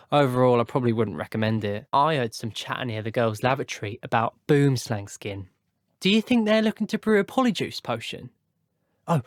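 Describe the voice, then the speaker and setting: Hapless wizard student for a game, adult actor; mid-range, rueful and chatty, very dry recording. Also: male voice, mid-range voice, no echo, natural voice. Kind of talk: video game character dialogue.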